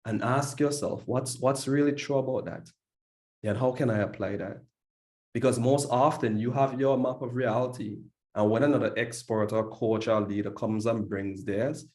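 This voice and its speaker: Low-voiced motivational host in an echoey room. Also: male voice, darkish tone. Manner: pep-talk cadence, repeated "yeah". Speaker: summit host. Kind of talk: motivational event hosting.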